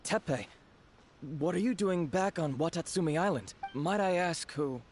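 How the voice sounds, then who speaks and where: A man's voice: a little high, expressive, normal speed, a little mellow, lightly acted. A man playing a respectful, good-natured army soldier in a video game, polite and earnest. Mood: surprised. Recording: music faintly behind the voice.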